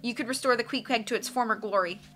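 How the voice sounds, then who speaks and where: A woman plays a clever, protective girl in a storybook. Her voice is fairly high and bright, with an earnest, articulate manner. Faint music plays underneath. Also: fast. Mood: persuasive.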